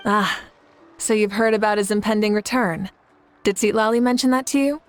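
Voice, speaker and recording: A woman's voice: mid-range voice, fairly even intonation, moderate pace, soft background music, lightly acted. A woman playing a confident, good-humoured female leader in a video game, composed and teasing. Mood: friendly, composed. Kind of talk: animated video game voice acting.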